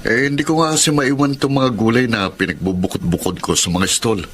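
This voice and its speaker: An actor playing a resentful, stubborn grown son who sells at market, dismissive, in a radio drama. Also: male voice, mid-range voice, brisk, fairly bright tone, slight background noise, natural voice. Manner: grumbling, dismissive. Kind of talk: Tagalog radio drama acting.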